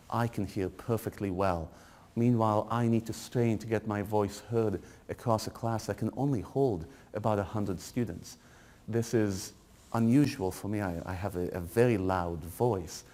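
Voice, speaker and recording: A man's voice: on the low side, warm tone. A middle-aged man, a lecturer, giving a wry, critical walking tour of a building, recorded by a camera at a distance in hallways and an empty lecture hall. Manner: dry, wry critical commentary. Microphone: far camera. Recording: reverberant hall, faint room noise.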